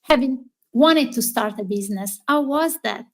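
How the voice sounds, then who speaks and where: A woman with an Italian accent, Italian being her first language, hosts a relaxed conversation as a therapist. Her voice sits high, and she talks warmly, affirming her guest often and filling pauses with "um" and "you know". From Italy. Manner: warm, affirming, thinks aloud with fillers. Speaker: therapist, host. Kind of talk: casual interview chat.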